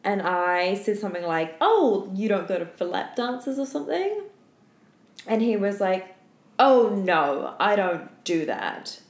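A woman's voice: mid-range voice, big pitch swings, unhurried. A tired woman co-hosting a podcast, chatting and telling stories casually in a playful, giggly way, with some swearing. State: tired after a night without sleep. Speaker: podcast co-host. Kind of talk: casual chat and storytelling between friends. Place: indoor room at home. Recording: echoey room.

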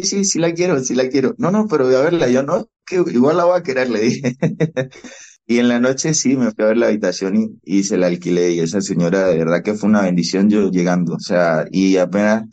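A young Venezuelan man, a podcast guest, telling his story with animated, reenacted dialogue. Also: medium pitch. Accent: Venezuelan Spanish. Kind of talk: storytelling interview. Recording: dull, lo-fi podcast audio.

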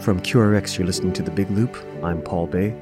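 Loud new-age music surrounds a man's lowish voice. He reads a scripted episode introduction as the show's host. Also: a little fast. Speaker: show host.

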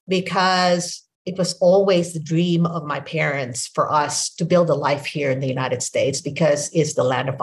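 A woman in her sixties with a Filipino accent, whose first language is Filipino, hosting a podcast and telling her story in a reflective, unhurried way. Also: lowish voice. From Philippines. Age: 60s. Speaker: podcast host. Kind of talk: casual conversation.

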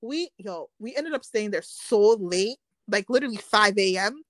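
A woman's voice: mid-range voice, moderate pace, no echo. A young woman telling a story in casual chat, acting out what people said, with plenty of slang. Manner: animated storyteller, acts out quotes, slangy.

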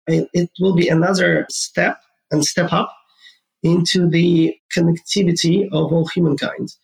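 A man's voice: a little high, little pitch movement, unhurried, vocal fry, low-quality recording. A man from Ukraine with a Ukrainian accent, answering interview questions earnestly and with enthusiasm. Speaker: guest, gaming entrepreneur.

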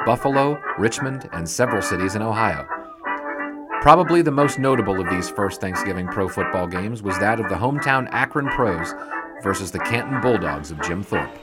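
Loud music plays behind an actor as a 1920s sports writer with a lowish voice. He narrates games of the past in a measured, literary way, as scripted audio drama. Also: natural voice.

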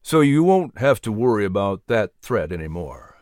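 Storybook role: gentle colonial preacher, a kindly man, mid-to-low pitch, close dry sound, acted by a narrator. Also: very animated, moderate pace, no echo, lightly acted. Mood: gentle, reassuring. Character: calm, kindly colonial minister, gentle male mentor.

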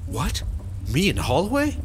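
A baffled, animated everyman hero. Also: fairly high pitch, normal speed. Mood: startled, puzzled. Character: suspicious, casual, easily baffled male squad-car hero. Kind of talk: sci-fi comedy audio drama acting. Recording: music behind the voice.

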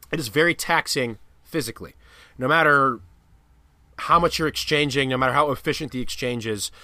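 Male podcast co-host, congested, crisp bright tone, dry booth sound, lore-explainer energy. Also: medium pitch, dry recording. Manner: jokey, explains lore at length. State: stuffy nose. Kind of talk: casual chat.

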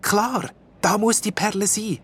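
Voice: mid-range voice, a little slow, slightly rough, pitched above the performer's own voice. A storyteller voicing a young, brave but puzzled princess asking earnest questions.

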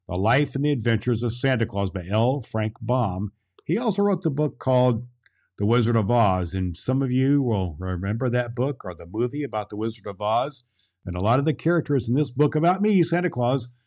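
Here is a jolly Santa Claus, played by a man, hosting a children's story podcast. The voice sits at a medium pitch. The delivery is kindly, folksy and inclined to ramble. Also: darkish tone, close to an everyday voice. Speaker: host and storyteller.

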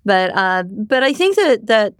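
A middle-aged woman from New York, whose first language was Japanese, telling her life story in a candid, animated way and often tagging on "right?". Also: medium pitch, a little slow, clean tone, dry recording, clean studio sound. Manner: animated, frequent restarts and "right?" tags. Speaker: podcast guest.

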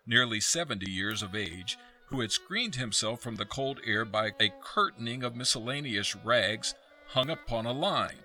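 A man's voice: medium pitch, expressive, moderate pace, pushed and bright. A man narrating a scripted radio play as a dramatic storyteller. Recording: distant voice with fiddle music behind.

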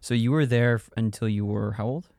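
A man hosting a podcast interview, asking questions and encouraging his guest with quick affirmations. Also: mid-range voice, creaky, a little mellow, dry recording, studio quality. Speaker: podcast host. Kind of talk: conversational interview.

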